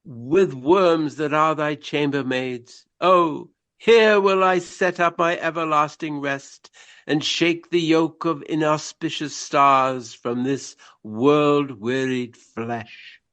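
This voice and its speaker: An actor playing a courtly, earnest Regency gentleman actor in a radio play, declaiming in a florid, formal and theatrical manner. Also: a little high, slow. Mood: mournful, declaiming.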